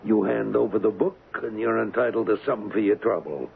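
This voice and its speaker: A man playing a cocky, wisecracking gangster in an old-time radio crime drama, slangy and taunting, with rough grammar. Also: on the low side, fairly quick, phone-quality sound. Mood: cocky, menacing. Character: cocky, wisecracking street-tough gangster hired as an agent. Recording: thin, band-limited, hissy old radio recording.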